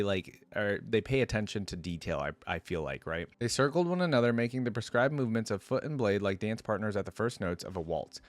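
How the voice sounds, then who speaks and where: A man's voice: fairly low pitch, dry recording. A man hosting a reaction video, reading a story aloud and commenting in an informal, slangy way.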